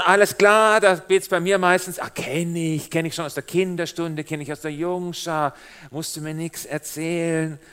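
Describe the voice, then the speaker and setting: Swabian guy preaching, bit of swiss in it, jokey, talks right to the crowd, kinda high voice. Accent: Swabian German with Swiss German influence. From Swabia, Germany. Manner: lively, humorous, addresses the congregation directly. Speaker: guest preacher. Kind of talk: sermon.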